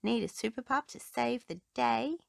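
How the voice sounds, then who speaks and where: Storybook puppy female performer: young male would-be superhero, eager, earnest, higher voice, emphatic. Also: lively intonation, normal speed, vocal fry, no room sound, clean studio sound.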